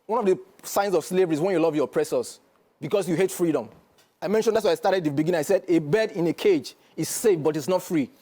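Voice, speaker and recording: A man's voice: a little high, quick pace, mellow. A man with a Nigerian accent, a guest on a studio interview, speaking in an emphatic, lecturing way and often checking that he is understood. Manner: emphatic, lecturing, keeps asking "do you understand?". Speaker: guest commentator.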